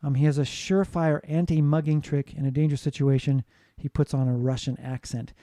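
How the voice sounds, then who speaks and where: A man's voice: on the high side, level tone, fairly quick, dark tone, dry recording. A man from the United States giving a classroom lecture on phonetics, explaining steadily and often asking "right?" to check in with students. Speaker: English teacher.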